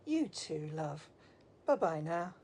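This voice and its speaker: A woman's voice: low voice, big pitch swings, takes it slowly, darkish tone, slight background noise, exaggerated voice. A woman acting a breezy, motherly office receptionist in an audio drama, with a cosy sing-song manner. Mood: cheery, gossipy. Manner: sing-song, cosy, calls people love.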